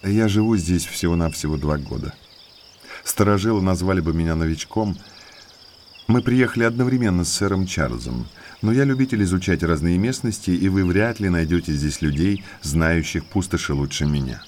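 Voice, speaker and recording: An actor playing a well-mannered male naturalist in an audio drama, an eager guide to eerie moors who speaks politely and deliberately. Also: low voice, a little mellow, slight background noise, natural voice. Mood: courteous, intriguing. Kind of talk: Russian audiobook drama acting.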